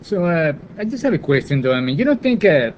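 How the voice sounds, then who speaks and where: A man's voice: fairly high pitch, sing-song, fast. A man with a foreign accent co-hosting a casual chat, hesitant and full of fillers. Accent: non-native English. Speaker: co-host. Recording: dull lo-fi live broadcast with faint background noise.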